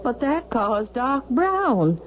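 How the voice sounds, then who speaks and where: An actress playing an imperious, suspicious elderly widow with a haughty, deliberate, sharp-tongued delivery in a 1970s radio drama. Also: female voice, high-pitched, very animated, full character voice. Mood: probing, wary. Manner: haughty, deliberate, pointed questioning. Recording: dull, hissy vintage radio recording.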